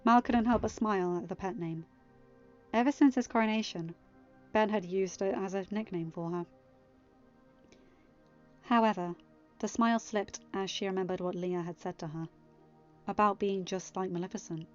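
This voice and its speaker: A woman's voice: medium pitch, steady tone, normal speed, pauses often. A woman reading a story aloud as narrator and host, sometimes chatting casually to her listeners. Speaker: podfic reader and host. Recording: slightly muffled, dry, music underneath. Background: quiet music.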